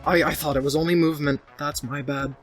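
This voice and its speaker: A man's voice: a little high, steady tone, fast talker. A man playing a tabletop game, narrating a character with earnest, dramatic and sometimes hesitant phrasing. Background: guitar music.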